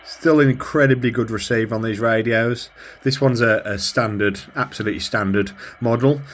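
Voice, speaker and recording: A man's voice: mid-range voice, fairly even intonation, moderate pace. A man giving a casual hands-on demonstration of gear, rambling a little and thinking aloud as he works. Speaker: radio hobbyist presenter. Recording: clear background noise.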